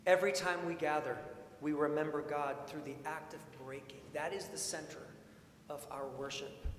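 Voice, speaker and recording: A man preaching a sermon in an informal, expressive way, with storytelling and questions repeated for emphasis. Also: on the high side, normal speed. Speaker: preacher, priest. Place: large indoor church sanctuary. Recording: echoey church hall, long reverb, faint noise.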